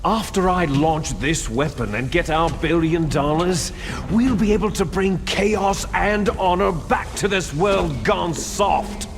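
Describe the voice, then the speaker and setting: A man's voice: high voice, slow, faint music behind. A man playing a bitter, proud soldier villain in a video game, grandiose and taunting, drawing out his words dramatically. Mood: grandiose, impassioned.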